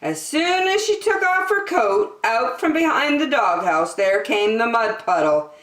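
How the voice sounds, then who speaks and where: A woman reading a picture book aloud to children, expressive and deliberate in her emphasis. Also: on the high side, far from the mic. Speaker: children's story-time reader. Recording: echoey, roomy sound.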